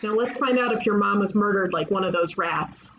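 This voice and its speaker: A woman's voice: mid-range voice, a little fast, a bit bright, natural voice. Woman acting a brash detective, muffled lo-fi mic. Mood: deadpan. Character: brash, cocksure young woman detective, wisecracking sister. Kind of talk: animated comedy table read. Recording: dull lo-fi mic, faint noise.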